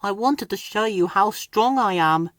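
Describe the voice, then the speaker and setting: A man's voice: sits high, animated, a little slow, a bit bright, no room sound, clean studio sound, pitched above the performer's own voice. A man voicing a proud, stubborn, easily huffy little tank engine in a children's storybook. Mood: dejected, upset. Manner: huffy, puffing, emphatic.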